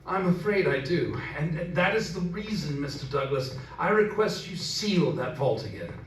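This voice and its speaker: An actor playing a grave, earnest preacher with formal, solemn speech in a radio horror drama. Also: male voice, fairly high pitch, lively intonation, a little hiss or hum, natural voice. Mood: solemn warning. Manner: formal, solemn, measured wording. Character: grave, superstition-wary English village preacher, formal and earnest. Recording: roomy, distant, loud music underneath.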